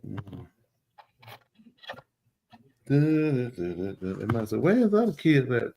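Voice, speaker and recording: A man, co-hosting a live show and chatting casually with animated exclamations. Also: mid-range voice, a little slow, vocal fry, highs cut off, a little hiss or hum. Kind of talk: casual chat.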